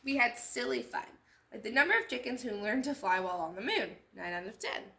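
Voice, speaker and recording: A woman reads a children's storybook aloud in an echoey room. Her voice is bright and fairly high, with very lively swings in pitch. She acts out the text and pauses to point out details as a storytime host. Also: gravelly. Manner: animated, acting out lines and pointing out details. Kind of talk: expressive picture-book read-aloud with explanatory asides.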